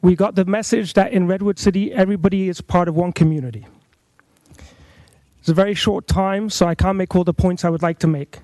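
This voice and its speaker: Earnest rabbi, high mellow male voice. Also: no echo, rough recording. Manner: earnest, measured appeal. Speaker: rabbi, public commenter. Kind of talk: public comment at a council meeting. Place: council chamber. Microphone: podium microphone.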